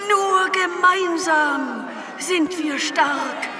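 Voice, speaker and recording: A woman's voice: extremely high pitch, very slow, crowd noise, full character voice. A woman playing a solemn planetary president in a comedic sci-fi radio play, speaking in emphatic, pathos-laden oratory. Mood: rousing. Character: solemn female president of an alien planet, rallying her citizens. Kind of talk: comedic sci-fi audio drama acting. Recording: long echoing reverb, clearly noisy ambience behind.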